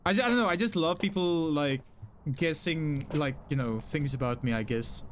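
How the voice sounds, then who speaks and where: A fairly high-pitched young man from South Africa speaks with an Afrikaans English accent, chatting easily with his stream viewers. He repeats short phrases and leans on "like" as a filler. A faint hiss sits behind his voice. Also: moderate pace. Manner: chatty, apologetic, frequent self-repeats and "like" fillers. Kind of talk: casual live-stream chat reading chat messages.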